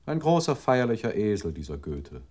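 An actor playing an obedient computer processor in a radio play, reciting drily and deliberately as if reading out lists. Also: male voice, fairly low pitch, very animated, a little mellow. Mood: dry, deliberate.

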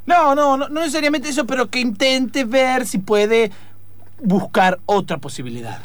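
Man playing animated, nervous investigator Acevedo. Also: very high voice, moderate pace, dry recording, pitched above the performer's own voice. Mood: flustered, explaining. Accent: Argentine. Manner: nervous, repeating himself. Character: nervy, earnest male investigator Acevedo. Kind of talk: improvised radio theatre.

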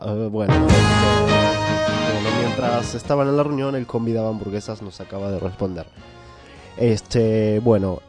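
A man plays a pompous, fussy male correspondent in a radio comedy sketch. He speaks with mock formality at a middle pitch and sprinkles English into his Spanish, with faint music behind him. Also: a little mellow, natural voice. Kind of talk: parody news interview.

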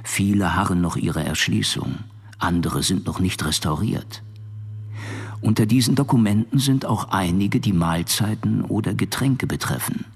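Solemn archive narrator, deep register, measured formal delivery for a mock-documentary radio play. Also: male voice, vocal fry, slightly rough, exaggerated voice.

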